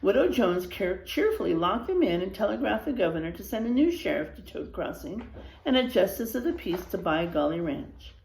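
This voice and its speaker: A woman reads a children's tale aloud with lively, swinging intonation. Her voice has some creak, and the room adds echo. She breaks off for asides to her listeners. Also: medium pitch, moderate pace. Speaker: read-aloud storyteller. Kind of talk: expressive children's story reading with asides to listeners.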